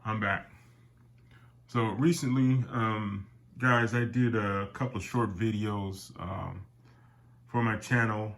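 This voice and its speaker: Older male collector-host, low-ish nasal voice with a cold, loose rambling delivery. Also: fairly even intonation, slow pace, long pauses, fairly bright tone. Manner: rambling, with many fillers and restarts, calls viewers "guys". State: has a summer cold. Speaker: airgun collector and channel host. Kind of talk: casual product talk to camera.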